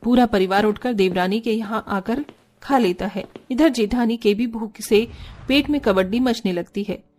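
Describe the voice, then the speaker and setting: A woman's voice: on the high side, moderate pace, a little mellow, soft background music. A woman narrating a scripted cartoon family story as the storyteller.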